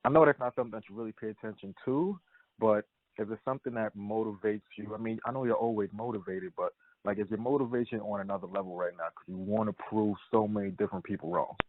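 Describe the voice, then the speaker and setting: A man's voice: on the low side, quick pace, dry recording. A man asking casual, wordy interview questions as a boxing reporter. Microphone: telephone. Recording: tinny phone conference line.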